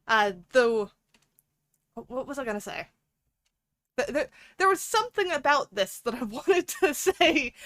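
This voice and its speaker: A woman's voice: a little high, fairly bright tone, dry recording. A woman hosting a livestream, chatting casually with viewers in a playful, giggly, rambling way. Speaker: livestream host.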